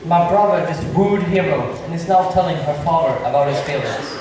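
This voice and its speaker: Man playing high-voiced scheming villain, echoey. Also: fairly quick, darkish tone, natural voice. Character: scheming, smooth-lying villain, the prince's brother. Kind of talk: amateur stage acting in modernized Shakespeare. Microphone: far camera. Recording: distant, echoey hall, faint noise.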